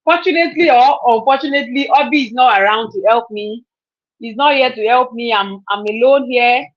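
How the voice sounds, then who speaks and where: Brief: woman, 30s, chatty live stream host, higher voice, dull lo-fi audio. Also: brisk. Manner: chatty, repeats herself, laughs often. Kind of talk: casual live stream chat.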